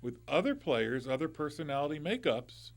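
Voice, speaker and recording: A middle-aged man with a loud voice, hosting a live call-in radio show as a lawyer, chatting in a loose, conversational way with restarts and asides into a studio mic. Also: on the high side, big pitch swings, normal speed. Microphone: studio microphone.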